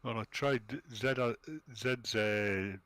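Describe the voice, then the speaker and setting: A man's voice: fairly low pitch, fairly even intonation, takes it slowly, fairly bright tone, clean tone, no room sound. A man bantering casually as a talk show panelist, playful and mock-serious in his delivery.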